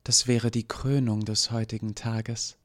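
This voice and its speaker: A man's voice: lowish voice, flat delivery, measured pace, voice pushed up, lightly acted. A man reading an audiobook, voicing a cruel woman who taunts with amusement. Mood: taunting.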